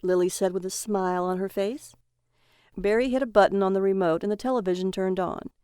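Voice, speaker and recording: A woman reading a dark comic short story in an even, measured way. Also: mid-range voice, clear, resonant tone, no echo, clean studio sound. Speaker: story reader. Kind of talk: scripted narration of a dark comic tale.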